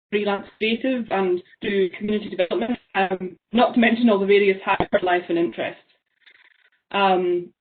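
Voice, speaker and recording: A young woman from the Isle of Lewis with a Scottish accent, giving an online seminar talk, thoughtful and full of ums. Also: mid-range voice. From Isle of Lewis, Scotland. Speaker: seminar speaker. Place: small room at home. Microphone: laptop webcam on a video call. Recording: tinny phone-like video call.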